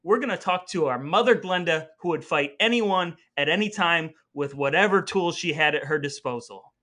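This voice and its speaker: Male podcast co-host, high-ish and very bright voice, playful mock-earnest patter, faint music underneath. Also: normal speed. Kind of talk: chatty scripted podcast narration.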